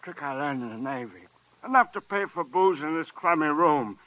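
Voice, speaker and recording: Radio drama drunk, male actor: down-and-out, bitter, rambling, high-pitched, dry and dull vintage recording. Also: animated, moderate pace, no room sound, natural voice. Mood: boastful, bitter. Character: boozy, bitterly amused down-and-out man. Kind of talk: 1950s radio drama acting.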